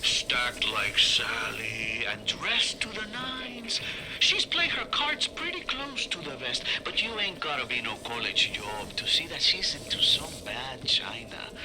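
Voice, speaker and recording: A man's voice: mid-range voice, noisy background. An actor playing a sly, streetwise male hustler in a video game, talking in 1940s noir slang as on an audio diary. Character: slangy male hustler of a retro underwater city.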